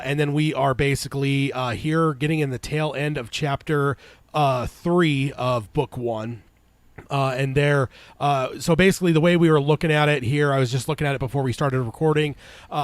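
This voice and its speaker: Male podcast co-host, mid pitch, stuffy and congested, meanders with frequent fillers, dry close mic. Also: no echo. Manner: rambling, many "uh" fillers. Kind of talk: casual podcast chat.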